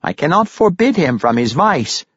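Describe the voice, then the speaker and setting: A shrewd, amused old patriarch from a fantasy storybook, as a man acts him out, speaking with courtly flourish. The pitch is raised and extremely animated, and the recording is dry and slightly dull. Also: normal speed, breathy edge, no room sound, full character voice. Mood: bargaining. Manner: courtly, theatrical, amused, flowery phrasing. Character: jovial, shrewd, courtly older crime-boss grandfather.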